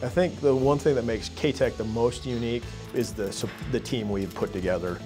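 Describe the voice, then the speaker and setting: A middle-aged man, a school administrator, speaking in a measured, promotional way in a documentary interview. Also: mid-range voice, over music. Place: indoor office.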